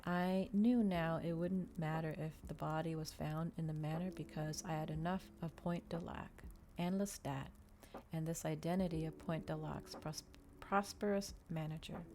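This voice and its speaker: A woman doing an ASMR reading of a novel, soft-spoken and measured, with a dramatic storytelling delivery. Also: deep voice, fairly even intonation, slight background noise. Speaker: streamer reading a novel aloud.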